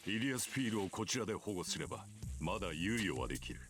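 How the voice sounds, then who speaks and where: A cold, pragmatic priest from a visual novel. His voice is low and moves widely in pitch as he lays out matters with measured authority. He is composed and grim, with music playing clearly behind him. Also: big pitch swings. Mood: grave warning. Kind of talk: Japanese visual novel voice acting.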